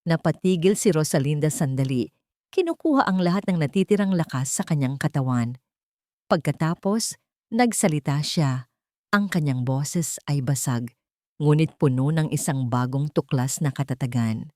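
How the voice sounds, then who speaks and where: A low-voiced woman narrates a scripted romantic drama. Her delivery is measured and dramatic, broken into short storytelling phrases. The recording is close and dry, with no room around the voice. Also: animated, dark tone, no room sound.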